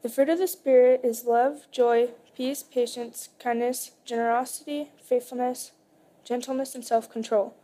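Mellow, higher-pitched woman reading scripture. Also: flat delivery, moderate pace. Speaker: scripture reader.

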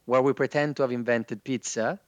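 A man's voice: mid-range voice, fairly even intonation, brisk, lo-fi sound. A man from Italy with an Italian accent, a guest on a tech podcast, talking in a friendly, joking way. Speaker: guest, tech company co-founder. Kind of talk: casual podcast interview.

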